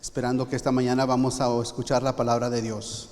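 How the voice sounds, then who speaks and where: A man's voice: medium pitch, little pitch movement, quick pace, darkish tone. A man interpreting a sermon live, translating on the fly with frequent restarts. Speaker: church interpreter. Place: church sanctuary. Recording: long church hall reverb.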